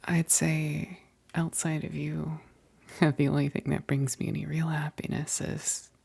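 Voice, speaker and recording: A woman playing a teasing, affectionate, wistful vampire best friend in a soft, breathy whispered ASMR roleplay, speaking hesitantly. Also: deep voice, flat delivery, full character voice. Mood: wistful, fond. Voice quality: breathy, husky whisper with gravelly edges. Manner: slow, hesitant, punctuated with soft chuckles.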